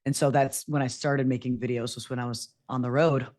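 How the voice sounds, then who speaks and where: A woman with a low voice, a podcast guest telling her story candidly in casual chat. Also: brisk. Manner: candid storytelling, animated quoting.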